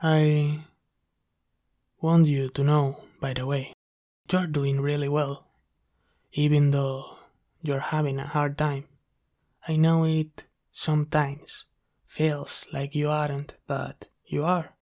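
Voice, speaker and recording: Guy doing a wholesome sleep paralysis demon for asmr, soft and slow, kinda apologetic, pretty even tone. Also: fairly high pitch. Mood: tender, reassuring. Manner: soft-spoken, hesitant, reassuring, pausing often. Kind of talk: ASMR roleplay, soft comforting sleep aid.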